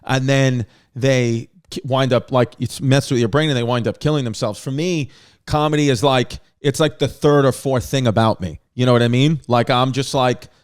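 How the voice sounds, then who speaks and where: A man with a New York accent hosting a comedy podcast, talking in a rambling, jokey way with quick asides. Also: mid-range voice, fairly even intonation, clear, resonant tone. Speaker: podcast host. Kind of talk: casual comedic chat.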